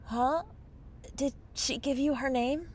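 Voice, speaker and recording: A woman's voice: sits high, slow, darkish tone, slight background noise. A woman playing a sarcastic, exasperated young fairy in a mockumentary-style comedy audio drama, with dramatic, sardonic delivery. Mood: groggy, exasperated.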